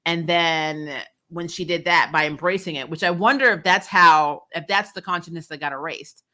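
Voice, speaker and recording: A woman giving a casual, opinionated recap as an online reviewer, expressive and informal in her slangy delivery. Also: deep voice, normal speed. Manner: expressive, informal slang, frequent laughing asides. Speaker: YouTube TV show reviewer, host.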